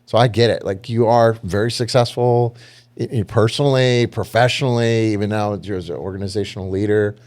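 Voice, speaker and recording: A man's voice: on the low side, steady tone, fairly quick, darkish tone. A man hosting a podcast interview, chatty and affirming, asking long rambling questions. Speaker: podcast host. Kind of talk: casual conversational interview.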